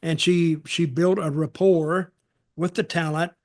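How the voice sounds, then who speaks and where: An older man with a somewhat high voice talks casually on a podcast. He gives his opinions haltingly, often repeating a phrase and starting it again. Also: flat delivery, darkish tone. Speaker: podcast co-host and wrestling veteran. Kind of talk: casual chat, reminiscing and giving opinions.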